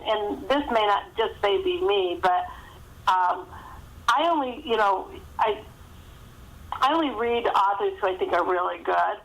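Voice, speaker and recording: A woman's voice: medium pitch, fairly bright tone, poor audio. A woman speaking as a guest author in a casual podcast interview, chatty and self-deprecating.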